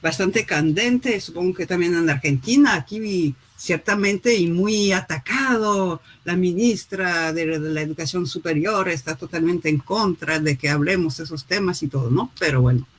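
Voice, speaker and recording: A woman author talking through her new book in a relaxed way, pausing often with fillers and restarts, heard over a video call. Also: on the low side. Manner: hesitant, many fillers and restarts. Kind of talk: casual spoken book presentation.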